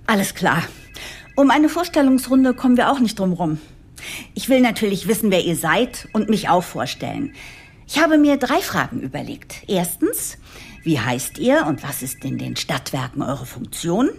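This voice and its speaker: A woman's voice: medium pitch, sing-song, moderate pace, vocal fry, darkish tone, breathy edge, soft background music, full character voice. A woman playing an earnest, idealistic new chief executive in a German audio drama. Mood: upbeat, businesslike. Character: new female CEO, eager, idealistic, nervously determined. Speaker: lead character and narrator.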